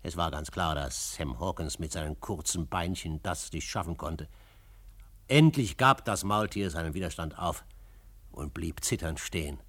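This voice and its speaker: A brave, composed adventurer telling his frontier story for a radio drama. His voice is fairly low and very dry, with measured literary phrasing. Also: a bit bright, dry recording. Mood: tense. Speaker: first-person narrator. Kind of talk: dramatic scripted narration.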